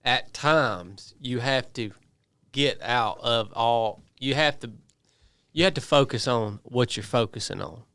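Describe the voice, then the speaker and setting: A man's voice: medium pitch, slow, a bit bright, smooth, no echo, clean studio sound. A man in his thirties, a podcast co-host, arguing his point emphatically in casual group chat. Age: 30s. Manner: emphatic, defends his views at length. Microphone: studio podcast mic.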